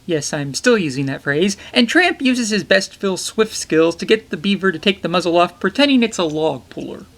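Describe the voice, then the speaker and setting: A young man reviews an old animated film with jokey, enthusiastic asides, his pitch bouncing up and down as he talks. He sits in a small poster-covered bedroom, talking toward a webcam. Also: normal speed. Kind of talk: comedic film review narration.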